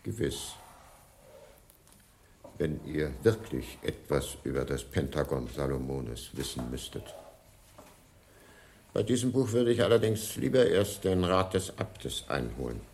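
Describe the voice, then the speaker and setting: Casting: gaunt monastic librarian, radio play. Extremely deep, grave, formal, forbidding; slight noise behind. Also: male voice, big pitch swings, darkish tone, heavily acted. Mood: grave, guarded. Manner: solemn, measured, formal. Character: gaunt, stern, aloof monastery librarian, male. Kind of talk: German radio play acting.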